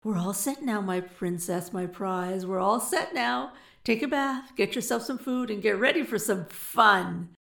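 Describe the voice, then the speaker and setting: Woman putting on a loud, gleeful male rogue. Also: medium pitch, unhurried, creaky. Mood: gleeful, smug. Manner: chortling, howling, folksy taunting. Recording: roomy echo.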